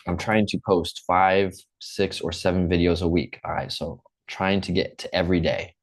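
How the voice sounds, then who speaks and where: A man's voice: deep voice, fairly even intonation, normal speed. A young American man from the Midwest teaching an English class online, upbeat and checking in often. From Midwest United States. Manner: upbeat, repeats and checks in with "okay?".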